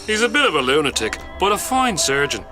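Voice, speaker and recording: An actor playing a sadistic, mockingly affectionate crime boss in a video game, with an Irish accent and a taunting, drawn-out delivery. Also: male voice, on the high side, sing-song. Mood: mocking menace. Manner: taunting, theatrical, drawn-out phrasing. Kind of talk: video game cutscene acting. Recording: music behind the voice.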